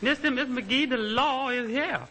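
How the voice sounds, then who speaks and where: A man playing a brash, cheerful household maid woman in a high falsetto, with folksy wisecracks, in 1940s radio comedy style. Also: normal speed. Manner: folksy comic exclamations and wisecracks. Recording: noisy old radio broadcast.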